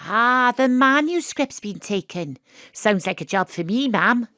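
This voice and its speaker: A woman's voice: a little high, animated, a little slow, sharp tone, dry recording, studio quality. A woman playing a bookish young Welsh daughter and amateur detective in an audio drama, speaking and narrating with a love of wordplay. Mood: eager. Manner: lyrical, wordplay-loving.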